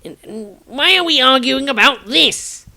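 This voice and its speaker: A man's voice: very high-pitched, expressive, unhurried, creaky, pushed and bright, voice pushed up, exaggerated voice. A man voicing a small, food-loving halfling in a fantasy storybook, cheeky and easily flustered, with a jokey, spluttering delivery. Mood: flustered, indignant.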